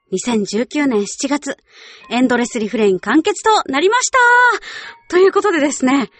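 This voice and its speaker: A woman's voice: high voice, no room sound. A woman hosting a radio show, talking politely in a scripted style with chatty, casual asides. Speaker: radio show host and voice actress. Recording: music behind the voice. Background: background music.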